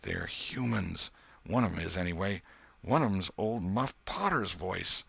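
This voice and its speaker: A man voicing a superstitious, fearful young country boy with a hushed, shivery, folksy rustic dialect in a storybook. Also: low voice, animated, unhurried, vocal fry, slightly rough, no room sound, full character voice. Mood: dread.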